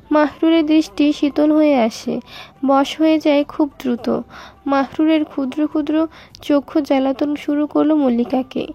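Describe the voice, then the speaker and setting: A woman narrates an audio story. Her voice is very high and soft-edged, and the recording is a little dull.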